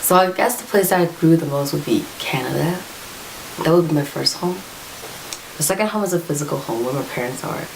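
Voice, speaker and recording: Low, gravelly-voiced young woman. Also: expressive, a little hiss or hum, low-quality recording. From Hong Kong. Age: young adult. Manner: measured, lays out points in order. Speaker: student interviewee. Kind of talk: interview answer.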